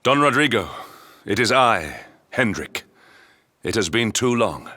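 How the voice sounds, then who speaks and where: Deep, solemn, courtly knight. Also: male voice, very animated, measured pace, sharp tone, slight background noise, full character voice. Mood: respectful. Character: stern, formal, loyal adult male knight hero. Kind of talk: video game character acting.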